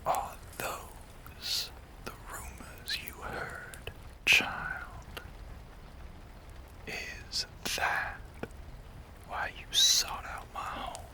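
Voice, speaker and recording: A man playing a courtly, menacing, ageless vampire with a Southern US accent, in an intimate audio roleplay, breathy and mostly whispered, with theatrical, genteel phrasing and long pauses. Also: pushed and bright, exaggerated voice. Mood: ominous storytelling. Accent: American South.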